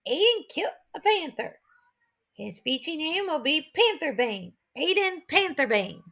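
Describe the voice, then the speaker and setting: A hearty old chief of a swamp tribe, played by a woman narrator, proud and ceremonious in a storybook adventure. The voice is high and gravelly, with rustic backwoods grammar and a folksy, speechmaking delivery. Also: big pitch swings, unhurried, a bit bright, exaggerated voice. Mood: solemn, folksy. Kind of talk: children's adventure audiobook character voice.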